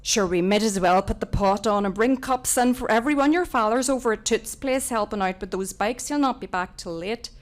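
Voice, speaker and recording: A woman voicing a hospitable, no-nonsense mother in a story reading, brisk and homely, with a Northern Irish accent. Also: a little high, natural voice.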